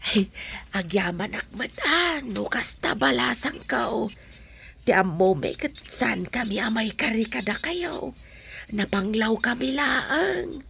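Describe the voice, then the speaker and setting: An actress playing a humble, grateful older mother in a radio drama, deferential and effusive, with a creaky, husky voice. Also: a little high, animated, slow pace, fairly bright tone, slight background noise, full character voice. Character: humble, grateful poor elderly mother of the groom.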